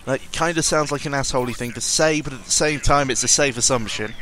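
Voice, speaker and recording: A man's voice: medium pitch, quick pace, fairly bright tone, slight background noise. A man giving a casual, rambling audio-log monologue as host, sardonic and cynical, often interrupting himself and swearing freely.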